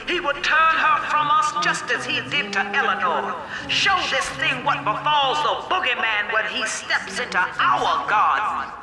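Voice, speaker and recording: Echoing, imperious cult matriarch villain. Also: female voice, high voice, animated, a little slow. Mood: menacing, imperious. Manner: imperious, grave proclamation. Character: menacing cult matriarch rallying her followers. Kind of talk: dramatic video game villain speech. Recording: echoing hall, loud music behind.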